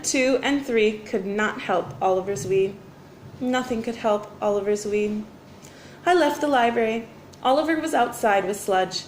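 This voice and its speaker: A woman reads a children's story aloud like a teacher, with deliberate, expressive phrasing. Her voice is somewhat high and very animated, heard in an echoey room. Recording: roomy echo, faint background noise.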